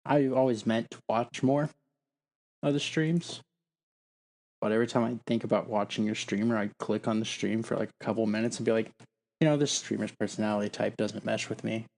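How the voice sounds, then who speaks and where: A man co-hosting a podcast in casual chat, low-key in his reactions and remarks. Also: fairly even intonation, fairly quick, gravelly, a little mellow. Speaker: podcast co-host.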